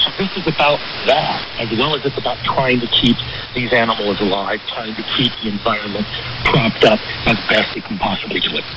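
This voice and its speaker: A man's voice: fairly low pitch, fairly even intonation, brisk. A man explaining things in a radio interview, emphatic and repeating phrases for weight, on a shortwave broadcast. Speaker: interview guest, criminal defense attorney. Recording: very noisy shortwave radio reception. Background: loud radio static.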